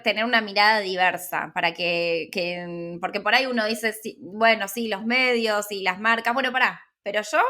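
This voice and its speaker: A woman's voice: mid-range voice, pushed and bright. A woman hosting a conversational interview, asking the questions. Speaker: interview host.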